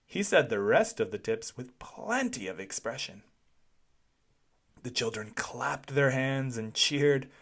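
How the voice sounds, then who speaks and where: A narrator reads a children's storybook aloud with great expression. The pace is very slow and deliberate. The pitch rises and falls dramatically across phrases. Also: breathy edge.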